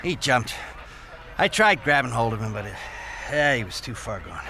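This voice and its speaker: A police negotiator with a medium-pitched male voice and a cold, menacing edge beneath the calm. It is an audio drama scene with clear noise behind him. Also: normal speed. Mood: subdued. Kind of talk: modern audio drama acting.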